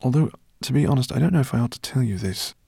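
A man's voice: mid-range voice, lively intonation, fast talker, creaky, dark tone, husky, dry recording, studio quality, voice pushed up. A man reading an audiobook, voicing a kindly, flustered older male professor.

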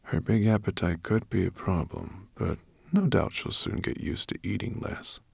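A man voicing a forgetful old male dragon in a breathy murmur, slow and halting, as in a storybook reading. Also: lowish voice, a little mellow, dry recording, exaggerated voice. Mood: approving.

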